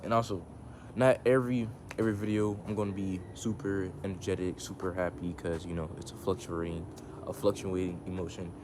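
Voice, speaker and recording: A man's voice: low voice, brisk, background noise. A man vlogging casually into his phone outdoors, rambling and reflective, full of restarts and fillers. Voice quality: can go monotone. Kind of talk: casual talk to camera. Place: outdoors in the sun. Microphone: phone camera.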